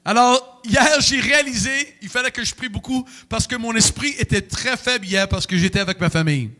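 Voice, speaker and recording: A middle-aged man, preaching a sermon in a church with emotional, animated delivery and sudden outbursts. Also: very high voice, brisk. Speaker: pastor.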